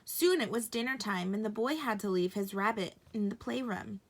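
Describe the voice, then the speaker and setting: A woman narrating a bedtime story for children in an expressive storytelling style. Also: medium pitch, normal speed.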